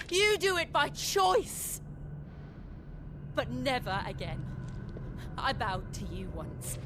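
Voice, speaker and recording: Slow-spoken, defiant warrior woman. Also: sits high, noisy background, heavily acted. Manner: solemn, deliberate, impassioned. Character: defiant, vengeful warrior woman, once enslaved as a weapon. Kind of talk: dramatic video game voice acting. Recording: loud orchestral game music behind. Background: loud music.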